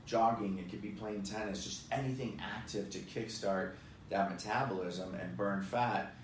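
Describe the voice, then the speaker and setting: A man in his forties talking fitness in a casual podcast chat, energetic and emphatic, with many fillers. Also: lowish voice, moderate pace. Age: 40s. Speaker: fitness guest expert. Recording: echoey room, distant mic, faint noise.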